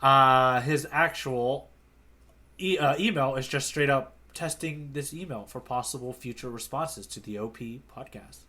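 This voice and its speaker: A man co-hosting a casual podcast as its guide, bantering in a playful, animated hype-man manner. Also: mid-range voice, unhurried. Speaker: podcast co-host, tour guide. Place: remote online podcast recording.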